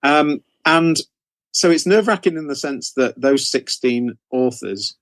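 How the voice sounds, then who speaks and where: A man's voice: mid-range voice, lively intonation, a little slow, no room sound. A man giving casual interview answers in a hesitant way, often repeating and correcting himself as he explains. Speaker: interview guest, author and anthology editor.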